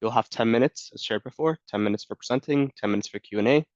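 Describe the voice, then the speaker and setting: A man's voice: medium pitch, fast talker, a little mellow, dry recording. A man running an online student competition on a video call, announcing rules in a businesslike way. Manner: businesslike and clear. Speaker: competition organiser. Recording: dull dry video call audio.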